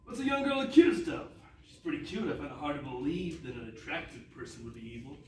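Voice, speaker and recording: Male actor as foolish ageing king, theatrical, highish lively voice; distant, echoey stage pickup. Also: fast, slight background noise. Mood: pompous, naive. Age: fifty, as the figure. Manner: dithering, naive, theatrical. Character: old, dim-witted king and doting father. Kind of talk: comic stage readers theatre. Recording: echoey room, far from mic.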